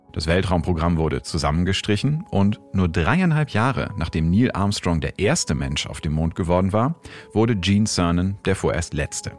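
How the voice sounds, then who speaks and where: A man hosting and narrating a scripted science documentary in German. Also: low voice.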